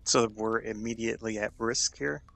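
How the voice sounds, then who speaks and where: A man's voice: fairly low pitch, steady tone, normal speed, gravelly, fairly bright tone. A man playing a tabletop role-playing game, casually asking questions.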